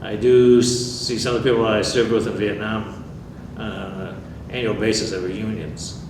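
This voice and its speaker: Older male veteran, low voice, measured and dry; roomy, distant, noisy recording. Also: little pitch movement. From New York State. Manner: plainspoken, dry humor, measured. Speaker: veteran interviewee. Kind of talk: oral history interview. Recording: echoey room, far mic, background noise.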